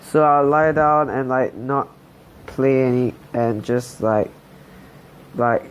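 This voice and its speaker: A man's voice: mid-range voice, takes it slowly, clean tone, rough recording. A young man in his late teens from Singapore, telling his life story in a hesitant voice-over full of fillers and restarts. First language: Chinese. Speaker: channel host.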